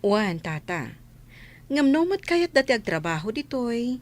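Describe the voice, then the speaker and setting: A woman's voice: fairly high pitch, very animated, a little slow. A woman with a northern Philippine accent playing a kindly, consoling religious sister in a radio drama. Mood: helpful. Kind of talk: village radio drama acting.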